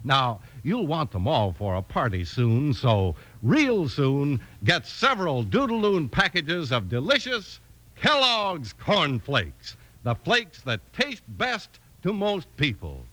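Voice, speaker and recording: Bright, animated American radio announcer man. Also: slow pace, no room sound. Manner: emphatic, enthusiastic pitch. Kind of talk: 1950s radio announcing, scripted ad and narration.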